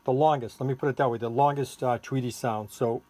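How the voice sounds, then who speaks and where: A man giving a casual hands-on product demonstration as a seller, halting and thinking aloud while he works the controls. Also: mid-range voice, steady tone, mellow. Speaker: seller demonstrating a homemade electronics gadget. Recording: clearly noisy, with electronic tweets and siren-like tones.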